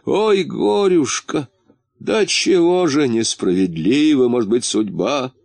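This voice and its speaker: A man voicing an anxious, soft-hearted, tearful little storybook character who laments and stammers. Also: on the low side, wildly swooping pitch, fairly quick, no echo, studio quality, exaggerated voice.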